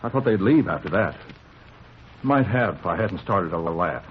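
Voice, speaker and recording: An actor playing a tough, self-justifying American bar owner shaken by a robbery, in clipped, streetwise 1950s radio police drama style. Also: male voice, sits low, lively intonation, moderate pace. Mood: animated recounting. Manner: clipped sentences, boastful, defensive, streetwise slang. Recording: muffled, hissy 1950s radio recording.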